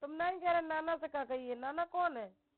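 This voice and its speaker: A woman's voice: sits high, fairly quick. A middle-aged woman arguing insistently and accusingly in a heated family call, recorded over a telephone. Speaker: mother on a phone call. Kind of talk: heated family phone argument. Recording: tinny recorded phone call.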